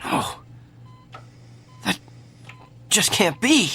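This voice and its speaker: Radio play: self-important American star doctor, forceful bright tone, lively melody. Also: male voice, high-pitched, very slow, faint music behind, full character voice. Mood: weak, shaken. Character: vain, self-assured celebrity male doctor. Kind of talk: audio drama acting.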